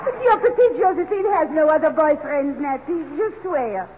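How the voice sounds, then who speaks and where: A woman's voice: high-pitched, lively intonation, moderate pace, some chatter behind, slight background noise. A woman playing a cooing, flirtatious sweetheart with a mock French accent in 1940s radio comedy style. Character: coquettish, cooing Josephine, Napoleon's sweetheart. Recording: tinny, reverberant old radio broadcast.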